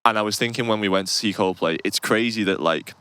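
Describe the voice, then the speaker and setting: Young man, slightly low voice, bright tone, rambling casual podcast chat, faint background noise. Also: fast. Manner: rambling, laughs often, swears casually. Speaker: podcast co-host.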